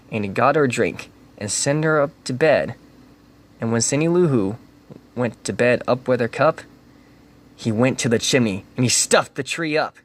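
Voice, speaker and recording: Man giving a rhythmic storybook reading. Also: mid-range voice. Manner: rhythmic rhyming delivery with lively emphasis. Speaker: reader.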